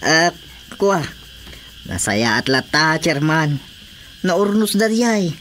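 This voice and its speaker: A man's voice: a little high, very animated, slow, a bit bright, slight background noise, full character voice. A man playing a deferential, agreeable village councilor reporting to his boss in an Ilocano radio drama. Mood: obliging.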